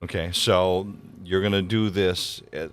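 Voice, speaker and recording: A man hosting an interview, asking measured, encouraging questions. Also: deep voice, fairly even intonation, fairly bright tone, slight background noise. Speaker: interview host.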